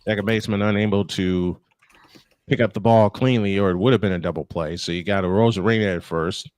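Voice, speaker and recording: A man's voice: on the low side, fairly even intonation, quick pace, darkish tone. A man calling a baseball game live as play-by-play host, slipping between commentary and casual chat.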